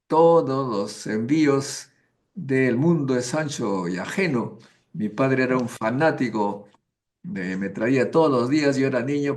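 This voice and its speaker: A man's voice: mid-range voice, darkish tone. Chatty Peruvian male host. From Peru. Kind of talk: interview.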